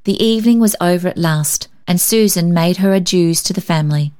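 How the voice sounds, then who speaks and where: A woman reading a story aloud as an expressive scripted narration. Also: lowish voice, a little fast. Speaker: story reader.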